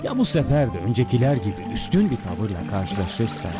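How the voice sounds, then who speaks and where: Low, animated, troubled priest. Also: male voice, brisk, over music. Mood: worried. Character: anxious, questioning priest, companion of the schemer. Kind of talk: animated film dialogue.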